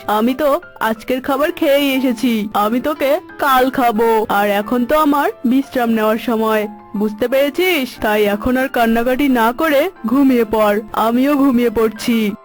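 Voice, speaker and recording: A woman's voice: high voice, unhurried, faint music behind. A woman voicing a bossy, taunting female monster eagle in a cartoon folk tale. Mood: mocking menace. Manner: taunting, condescending. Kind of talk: cartoon voice acting.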